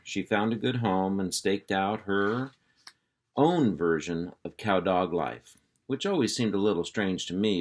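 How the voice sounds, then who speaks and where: A man reading a children's chapter book aloud in an easygoing, folksy storytelling manner. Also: lowish voice. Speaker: reader.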